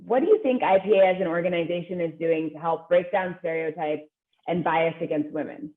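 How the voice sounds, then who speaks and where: A woman's voice: fairly low pitch, fairly quick. Upbeat woman interviewer on a tinny line. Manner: upbeat, polite, reading prepared questions. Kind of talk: scripted interview questions. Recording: tinny call audio.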